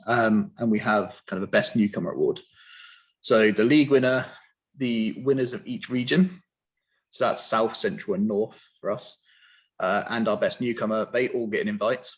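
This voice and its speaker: A man hosting an online interview, explaining a tournament in a relaxed, filler-heavy way. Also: fairly low pitch, husky, poor audio. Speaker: host.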